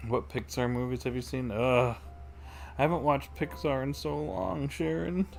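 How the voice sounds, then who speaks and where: A man's voice: mid-range voice, fairly even intonation, moderate pace, vocal fry. A man chatting casually with viewers as he streams a game, often thinking aloud. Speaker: livestream gamer. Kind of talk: casual chat with viewers during gameplay. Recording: game music behind the voice.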